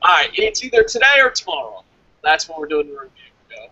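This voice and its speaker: A man with a high, very lively voice talks casually as co-host of a review show. He is blunt and swears freely. The audio is dry and a little dull. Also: quick pace, husky, no echo. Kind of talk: casual talk, reading and answering comments.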